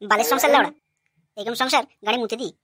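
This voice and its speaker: A woman's voice: a little high, fast, a bit bright, husky, no room sound, studio quality. A woman voicing a hot-tempered, aggrieved young son-in-law who rants brashly in a cartoon comedy. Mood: angry, ranting. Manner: brash, ranting.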